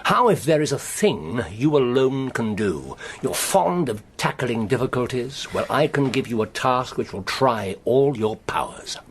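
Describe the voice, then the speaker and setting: An actor playing a weary, shrewd older government official who speaks in a measured, persuasive way. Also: male voice, mid-range voice, lively intonation, slight background noise. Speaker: senior official.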